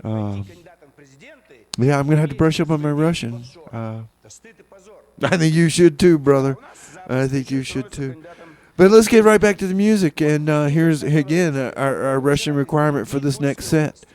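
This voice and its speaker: A man works the mic as a comedic community radio host. His delivery is rambling and halting, looping back over his own phrases. He keeps a mock-earnest, playfully exasperated tone as he moves between songs. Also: a little high, a little mellow. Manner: rambling, halting, repeats himself.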